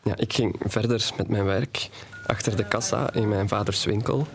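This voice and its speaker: Low-voiced young man confiding forbidden love. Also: normal speed, vocal fry, slight background noise. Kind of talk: audio drama monologue, intimate first-person storytelling. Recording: music playing behind the voice.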